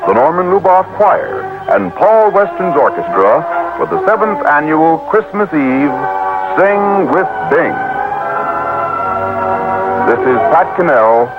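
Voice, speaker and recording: Male announcer, formal scripted credits, expressive pitch, vintage thin broadcast over loud music. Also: slow. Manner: formal, projected. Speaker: radio announcer. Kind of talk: mid-century radio announcing, scripted credits. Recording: tinny old radio broadcast, loud music. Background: loud theme music.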